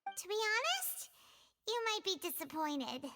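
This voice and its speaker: A woman voicing a tiny, childlike fairy companion in a video game, squeaky, earnest and outspoken. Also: big pitch swings, unhurried, sharp tone, slight background noise, heavily acted. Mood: apologetic. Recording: long reverb, faint music.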